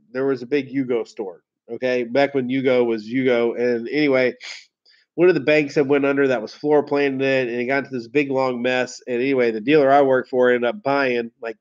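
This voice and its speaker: A man hosting a casual livestream. He tells rambling stories, laughs and swears easily, and sounds hoarse from a head cold. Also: medium pitch. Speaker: car sales livestream host. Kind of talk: casual livestream chat with viewers. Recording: dull lo-fi stream audio.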